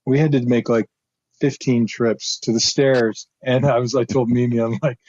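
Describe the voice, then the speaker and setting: A man's voice: normal speed, dry recording. A middle-aged man, a former football lineman, co-hosting a casual podcast and telling a rambling story, often laughing. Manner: rambling storyteller, laughs often, jumps pitch when excited. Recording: slightly dull lo-fi sound.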